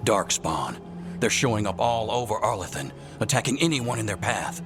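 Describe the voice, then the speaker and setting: Fantasy game scout, male actor: low, gruff, grave, with music underneath. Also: animated, normal speed, close to an everyday voice. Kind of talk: game dialogue acting.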